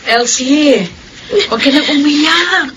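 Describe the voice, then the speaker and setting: A woman's voice: high-pitched, slow. A woman playing a caring older sister in a Filipino radio drama, soothing and earnest, with a Filipino accent. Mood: comforting. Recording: noisy radio drama broadcast.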